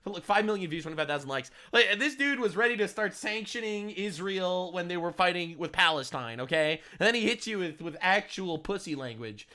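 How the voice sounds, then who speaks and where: Loud, bright-voiced ranting male commentator. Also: high voice, lively intonation. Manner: loud, crude, mocking, fast rant with put-on voices. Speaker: commentary channel host. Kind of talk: ranting political reaction commentary.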